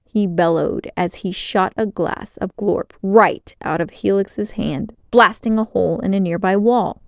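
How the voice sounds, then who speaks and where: A woman reads a children's story as narrator. Her voice sits in a middle range, with expressive storytime delivery, recorded close and dry. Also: medium pitch, a little slow, creaky, dry recording.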